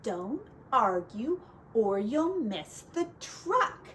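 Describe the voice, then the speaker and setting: A woman voicing a flustered, scolding mother pig in a children's storybook, exclaiming in drawn-out cries. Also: fairly high pitch, big pitch swings, a bit bright, slight background noise, exaggerated voice. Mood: flustered, fussing.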